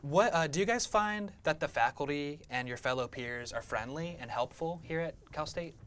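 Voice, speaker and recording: A man's voice: a little high, normal speed, a bit bright, slight background noise. Young man, host, student broadcast, friendly scripted questions. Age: young adult. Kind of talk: student interview hosting.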